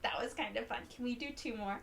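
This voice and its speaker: A woman reading a picture book aloud to young children in a gentle, encouraging way, speaking directly to them. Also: a little high, fast talker, gravelly, slight background noise. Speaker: children's read-aloud storyteller.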